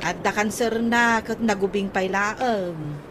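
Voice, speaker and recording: A woman's voice: mid-range voice, takes it slowly, noisy background, natural voice. A woman playing a practical, nagging older sister who gives advice, in a radio drama with an Ilocano accent.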